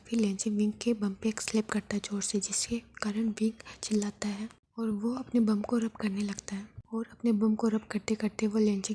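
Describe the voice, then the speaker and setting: Breathy whispering woman storyteller. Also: mid-range voice. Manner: soft whispering, steady retelling.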